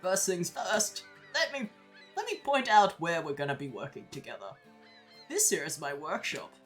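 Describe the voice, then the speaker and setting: An easygoing old carpenter with a homespun drawl, as a woman puts him on for a video game. His lowish voice swings widely. Faint game music plays underneath. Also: very animated, moderate pace. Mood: folksy. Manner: homespun drawl with "howdy" and "ain't". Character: folksy older male carpenter, friendly and easygoing.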